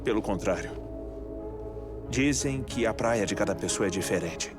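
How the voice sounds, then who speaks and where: A man with a Brazilian accent playing an eccentric, courteous, grieving scientist in a video game dub, speaking in a measured, articulate way. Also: fairly low pitch, expressive, lightly acted. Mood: obsessive, sorrowful. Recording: music under the voice.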